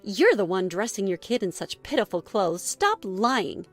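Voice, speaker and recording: A woman voicing a furious, haughty young mother in a story, shrill and accusing. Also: sing-song, normal speed, faint music behind. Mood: scornful anger. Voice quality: shrill, screechy when shouting. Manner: accusing, outraged shouting.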